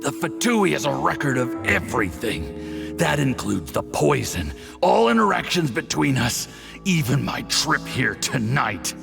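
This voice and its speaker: An actor playing an arrogant poisoned diplomat in a video game, gravelly and strained, halting with indignation and desperation. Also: male voice, mid-range voice, big pitch swings, music in the background, heavily acted. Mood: indignant, threatening. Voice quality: gravelly, creaky. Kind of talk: scripted video game voice acting.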